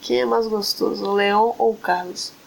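A woman's voice: mid-range voice, steady tone, moderate pace, creaky, slight background noise, poor audio. A young woman co-hosting a casual podcast, cracking teasing quips. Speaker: podcast co-host.

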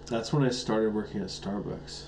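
A man's voice: lowish voice, normal speed, noisy background. Crude joking man in his thirties. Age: 30s. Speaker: podcast co-host. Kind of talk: casual chat.

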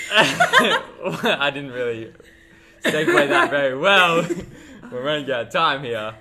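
A man hosting a podcast in casual chat, chatty and quick to giggle. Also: fairly high pitch, huge pitch swings, crowd noise. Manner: giggly, chatty, laughs often. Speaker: podcast host.

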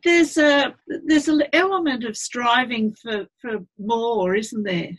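A high-pitched woman hosts an interview. Her voice is breathy. She sounds upbeat as she introduces her guest and hesitates with fillers in her questions. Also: takes it slowly, rough recording. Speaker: interview host. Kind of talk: hosted interview.

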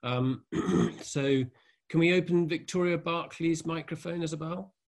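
A man hosting an online conversation event, asking gentle, measured questions and reading out questions from the audience. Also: a little high. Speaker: host, moderator. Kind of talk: online panel interview.

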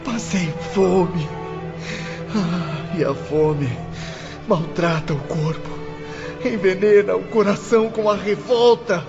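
A high-voiced, sorrowful young prisoner. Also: male voice, darkish tone, dull audio. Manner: tearful, lamenting, dramatic. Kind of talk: melodramatic radio drama monologue.

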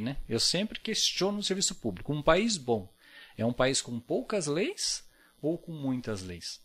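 A Brazilian man with a Brazilian accent, talking as a podcast guest in an earnest, reflective way. His voice moves a lot in pitch, rising and falling with each point. He often checks in with short tag questions as he goes. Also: fairly bright tone. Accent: Brazilian Portuguese. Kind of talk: casual podcast conversation.

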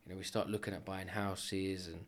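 A man, a business owner chatting as a podcast guest and telling quick, animated stories with asides. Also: low voice. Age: 30s. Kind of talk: casual podcast chat.

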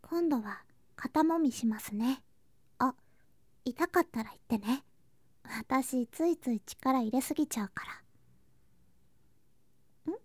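High, sweet younger girl cousin, acted by a woman. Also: lively intonation, slow, pauses often, dry recording, heavily acted. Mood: caring, affectionate. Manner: soft, sweet, coaxing, drifting into whispers and singsong. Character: affectionate, playful younger girl cousin doting on big brother figure. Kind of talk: ASMR situation voice drama, intimate roleplay to listener.

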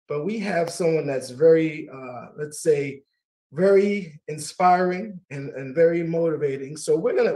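Man, middle-aged, radio host, slightly high voice, easygoing chatter, repeats himself. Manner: warm, laughs easily, repeats words. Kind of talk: casual talk radio hosting.

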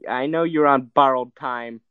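A man's voice: medium pitch, moderate pace, clean tone, no echo, narrow, tinny audio. A young man co-hosting a movie review podcast, teasing and making crude jokes in casual banter. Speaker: podcast co-host.

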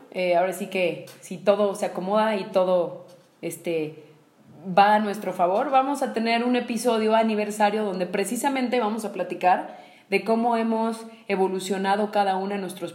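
A woman's voice: medium pitch, darkish tone, roomy sound. A woman in her twenties, co-hosting a podcast and steering a friendly, casual chat. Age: 20s. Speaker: podcast co-host.

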